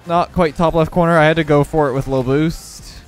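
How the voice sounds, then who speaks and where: A young man with a congested voice giving relaxed running commentary on his own video game match. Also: fairly high pitch, normal speed, soft background music. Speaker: gaming video creator. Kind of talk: live gameplay commentary.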